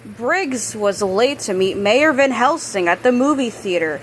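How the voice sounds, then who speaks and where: A woman reading a children's book aloud, expressive on characters' lines and sometimes stumbling and correcting herself. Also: a little high, a little hiss or hum. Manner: halting reader, stumbles and corrects words. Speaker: storybook reader.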